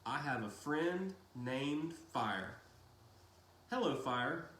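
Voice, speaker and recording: A stranded, desperate boy from a storybook, played by a man reading aloud. He speaks slowly and emphatically, with great intensity. The sound is roomy and echoing. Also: mid-range voice, expressive, a bit bright. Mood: quietly proud. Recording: roomy echo with faint hiss.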